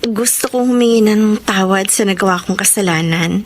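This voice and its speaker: A woman acts out a deferential young Filipino mother in a radio drama. Her voice sits at a middle pitch and sounds humble and earnest. The recording carries noticeable background noise. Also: moderate pace, close to an everyday voice. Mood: apologetic, sincere. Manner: polite, deferential. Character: humble, grateful, apologetic young single mother. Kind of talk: Tagalog radio drama acting.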